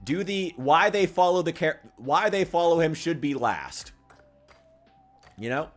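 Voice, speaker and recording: A young man live-streaming as he plays, chatting with viewers in a lively, profane way, sometimes hyped and sometimes muttering, his voice getting tired. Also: unhurried, soft background music. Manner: animated, profane, swings between loud hype and low mutters. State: losing his voice. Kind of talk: casual live-stream chat while gaming.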